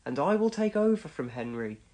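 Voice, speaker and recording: A man as a calm old engine, animated. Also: on the high side, fairly quick. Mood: calm, reassuring. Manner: calm, gentle, orderly. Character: calm, kind, dependable old male steam engine.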